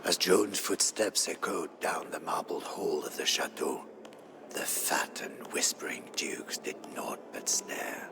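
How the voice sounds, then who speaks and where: A man's voice: a bass voice, expressive, creaky, whispered, exaggerated voice. A man playing a weary, devoted French soldier who solemnly recounts campaigns in a game's dramatic narration. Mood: grave, reverent. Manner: solemn, deliberate storytelling. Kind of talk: dramatic scripted narration for a game story. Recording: music behind the voice. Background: music.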